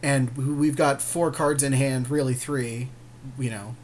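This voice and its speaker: Mid-pitched man casually co-commentating a card game. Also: fairly even intonation, unhurried, a little mellow, a little hiss or hum. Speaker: podcast co-host and player.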